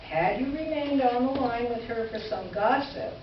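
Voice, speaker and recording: A woman's voice: fairly high pitch, moderate pace, natural voice. A woman playing an indignant, articulate columnist reading out a formal, pointed letter of complaint in reader's theater. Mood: pointedly polite. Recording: echoey hall from far off, dull, hissy.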